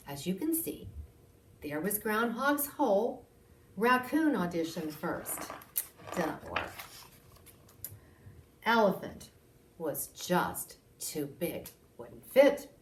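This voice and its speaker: A woman reading a picture book aloud for children, expressively and with dramatic pauses. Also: on the low side. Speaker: library storytime reader. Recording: roomy echo, distant microphone.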